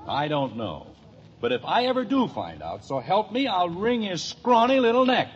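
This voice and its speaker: Animated reasonable radio dad. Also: male voice, high-pitched, normal speed. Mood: knowing. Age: middle-aged. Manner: placating, knowing. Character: reasonable, mediating suburban father and neighbor. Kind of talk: 1950s radio sitcom acting. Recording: slightly hissy, muffled old radio recording.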